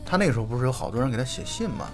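A middle-aged man co-hosting a podcast, chatting casually and off the cuff in an animated, opinionated way. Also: mid-range voice, rapid-fire, faint music behind. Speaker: podcast host. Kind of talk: casual unscripted podcast chat.

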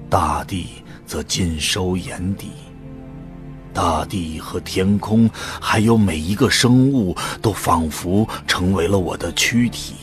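A man acting the earnest, troubled protagonist of a science fiction radio drama, with a measured dramatic delivery. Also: low voice. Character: earnest male protagonist, troubled and morally outraged. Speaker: voice actor and narrator in an audio drama. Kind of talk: Chinese sci-fi radio drama, first-person narration. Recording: faint music behind the voice.

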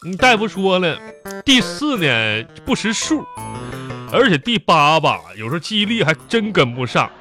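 Whiny, sleepy Northeastern Chinese husband. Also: male voice, high-pitched, big pitch swings, moderate pace, bright, forceful tone, faint music behind, heavily acted. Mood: weary coaxing. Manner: whiny, grumbling, colloquial. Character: sleepy, exasperated, henpecked husband kept awake by wife. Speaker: radio comedy host. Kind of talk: live comedy radio drama.

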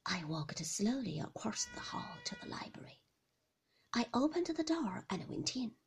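A woman narrating a novel in a hushed, intimate whisper, as a whispered audiobook reading. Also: high voice, wildly swooping pitch, unhurried, slight background noise. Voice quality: breathy whisper.

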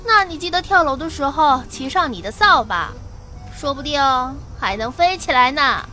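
Bright, high-voiced nagging schoolgirl. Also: lively intonation, normal speed, slight background noise. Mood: exasperated, mocking. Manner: scolding, teasing. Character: nagging, sensible teenage schoolgirl best friend. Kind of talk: Chinese audio drama acting.

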